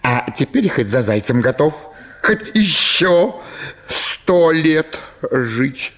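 Casting: obsequious, hard-up country squire in a radio play, plaintive and gushing, highish voice with big swoops. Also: male voice, sing-song, slow pace, fairly bright tone, heavily acted. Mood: gushing gratitude. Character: fawning, impoverished small landowner, tearfully grateful, wheedling. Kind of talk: theatrical Russian radio drama.

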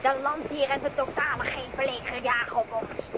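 A woman's voice: high voice, steady tone, brisk, lightly acted. An actress playing a curt, wary, jealous female companion in an adventure radio drama. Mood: stern, urgent. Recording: very noisy outdoor radio scene.

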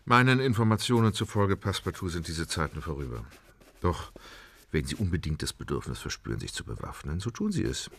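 An actor in a German audio drama playing a proper English gentleman with precise, formal, courteous speech. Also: low-pitched, big pitch swings, brisk, slightly rough, heavily acted. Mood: composed.

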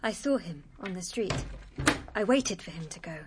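Woman acting meek young lady in a radio play, medium pitch, polite, hesitant, slight noise. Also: expressive, normal speed, natural voice. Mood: hesitant, subdued. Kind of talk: broadcast radio drama acting.

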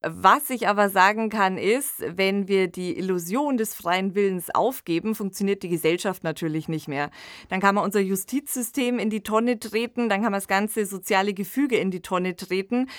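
A woman's voice: mid-range voice, no echo, clean studio sound. A woman hosting a podcast discussion in a thoughtful, colloquial conversational way. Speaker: podcast host.

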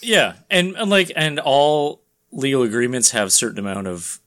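A man co-hosting a podcast, explaining things informally and a little haltingly, as if thinking aloud. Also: medium pitch, big pitch swings, fairly bright tone. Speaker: comics news podcast co-host. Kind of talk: casual explanatory chat.

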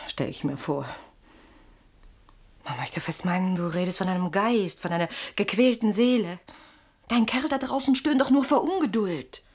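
Sceptical, reasoning wife, mid-pitched. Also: female voice, moderate pace, darkish tone, husky. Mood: dryly reasoning. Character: pragmatic, sceptical wife reasoning with her nervous husband. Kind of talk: German radio play acting.

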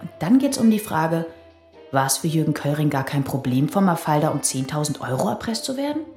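A woman's voice: deep voice, very animated, a little fast, darkish tone, roomy sound, soft background music. An actress playing a blunt, provocative female police detective in a German radio crime drama, needling with dry irony. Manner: blunt, needling, dryly ironic.

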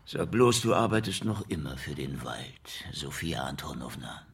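An actor playing a wry, world-weary middle-aged investigator in a noir radio drama, dry and laconic, with a gravelly voice. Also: male voice, very deep voice, big pitch swings, moderate pace, a bit bright, full character voice. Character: wry, hard-boiled, world-weary older investigator in Moscow. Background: music.

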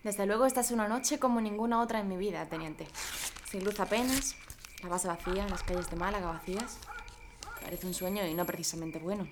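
A woman plays a loyal young assistant at a naval base in a radio drama. She answers softly and dutifully. Clear background noise runs under her lines. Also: medium pitch, a little fast, lightly acted.

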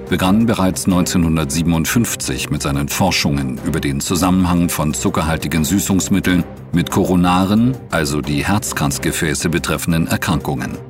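A man reading scripted documentary narration in a formal, measured manner. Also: low voice, music in the background.

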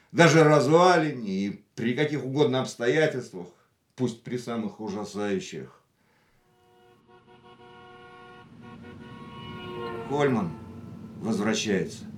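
A man's voice: a little mellow, close to an everyday voice. An actor in a radio drama playing a philosophical, risk-loving man with dramatic, declamatory phrasing. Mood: intense, reflective. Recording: echoey radio studio, faint rumbling noise.

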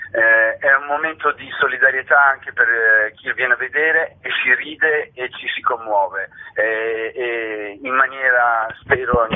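A man being interviewed on radio as a theatre director, speaking in long, winding sentences over a telephone. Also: mid-range voice. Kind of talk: radio interview, promoting a stage show. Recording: tinny phone line.

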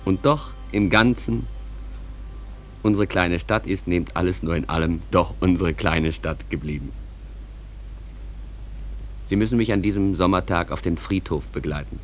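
An actor playing a calm, folksy stage manager who narrates a radio play, addressing listeners in a measured, conversational way. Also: male voice, low-pitched, slight background noise, close to an everyday voice.

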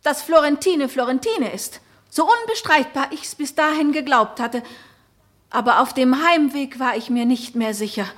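A woman's voice: sits high, moderate pace, fairly bright tone. A woman acting a troubled, pleading married noblewoman in a German radio play, speaking in earnest, solemn, poetic phrases. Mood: troubled reflection.

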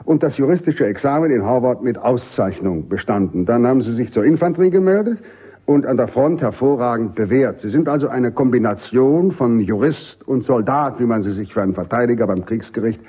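Army general, male, middle-aged: mellow, slightly rough voice, jovial authority, emphatic radio-play delivery. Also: medium pitch, animated, fairly quick. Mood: persuasive, brisk. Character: commanding American army general, genial but insistent. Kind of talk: German radio drama acting.

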